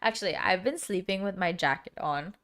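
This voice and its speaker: A woman's voice: on the low side, fairly quick, fairly bright tone. A young woman streamer with a dry, sick-sounding throat, chatting casually and rambling with lots of filler words. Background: background music.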